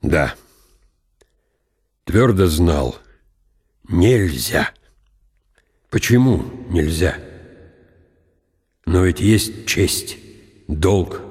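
An actor playing an aging military count, proud and brooding, in a deliberate, reflective radio drama monologue. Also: male voice, low voice, a little mellow, slightly rough, dry recording, exaggerated voice. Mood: brooding, bitter. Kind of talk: dramatized literary reading with inner monologue. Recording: studio radio drama with music behind.

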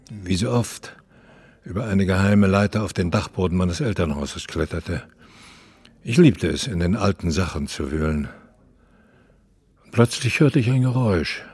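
Radio drama role: an embittered, lonely opera veteran in his eighties. Husky, low-pitched, theatrical monologue. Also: exaggerated voice. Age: 80s. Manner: theatrical, deliberate storytelling with weighty pauses.

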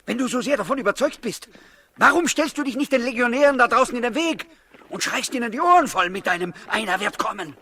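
An actor playing a bitter, sceptical rebel of ancient Judea, sarcastic and challenging, in 1960s German radio drama style. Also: male voice, extremely high pitch, animated, quick pace, pushed and bright, full character voice. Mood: impatient.

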